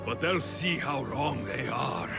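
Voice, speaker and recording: A menacing young warrior from a video game, with a gravelly edge to his voice. He taunts slowly and arrogantly, as someone sure of his power, over a musical score. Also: mid-range voice, expressive, loud music behind the voice. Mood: cold, menacing. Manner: threatening, slow, taunting.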